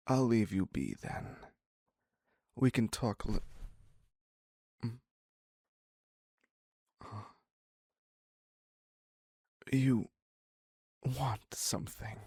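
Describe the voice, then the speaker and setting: Man acting as needy obsessive captor, husky breathy voice, extremely slow halting delivery, intimate dark romance audio drama, pleading. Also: mid-range voice, no echo, clean studio sound. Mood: resigned. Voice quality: breathy, husky, often dropping to a whisper. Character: obsessive, needy male captor, former patient devoted to his captive doctor.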